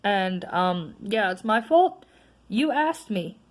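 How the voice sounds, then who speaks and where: A woman vlogger with a naturally loud voice, venting in a casual, frustrated rant that is full of tag questions. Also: medium pitch, lively intonation, takes it slowly. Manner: frustrated, ranting, frequent "right?" tags.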